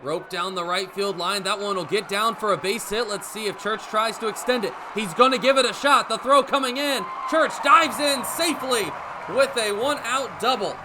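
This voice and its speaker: A man in his twenties doing radio baseball play-by-play as the announcer, with a descriptive delivery. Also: high-pitched. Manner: descriptive, measured delivery that rises on action. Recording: clear background ambience noise.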